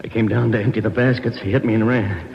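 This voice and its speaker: Dazed, hurried injured janitor. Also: male voice, on the low side, steady tone, creaky. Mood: pained urgency. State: groaning, in pain. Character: injured, dazed male janitor, anxious to explain. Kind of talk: 1940s radio crime drama acting. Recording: hissy, narrow old radio recording, reverberant.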